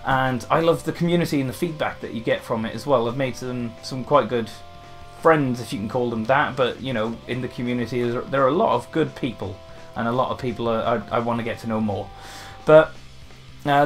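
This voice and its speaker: Chatty young man with a light Welsh lilt. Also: medium pitch. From Wales. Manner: chatty, rambling, frequent restarts and fillers. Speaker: gaming YouTuber. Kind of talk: casual Q&A chat to camera.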